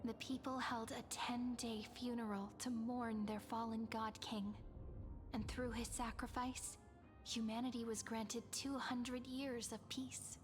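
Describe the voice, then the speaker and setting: Woman narrating solemn game legend, measured, clear music behind. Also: a little high, little pitch movement, natural voice. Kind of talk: scripted narration.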